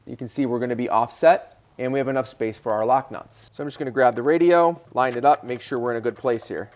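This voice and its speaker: An American man hosting a DIY car video, narrating a how-to casually and informally, thinking aloud as he works. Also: mid-range voice. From Michigan, United States.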